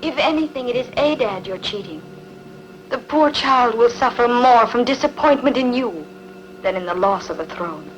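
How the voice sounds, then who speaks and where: Woman acting a regal, expressive ancient queen. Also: fairly high pitch, moderate pace, highs cut off, soft background music, lightly acted. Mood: calm reproach. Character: proud, seductive, cunning ancient queen. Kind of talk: dubbed historical epic film acting.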